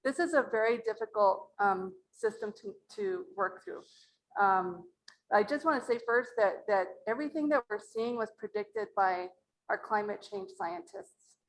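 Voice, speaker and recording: A woman from Mora, New Mexico, with a low voice, speaking earnestly and plainly as an acequia representative at a community meeting in a library meeting room. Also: lively intonation, normal speed, rough recording.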